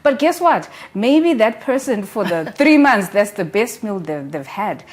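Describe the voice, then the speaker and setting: A woman's voice: medium pitch, big pitch swings, a little slow. A woman reviewing a book on a studio talk show, earnest and encouraging, with many casual fillers.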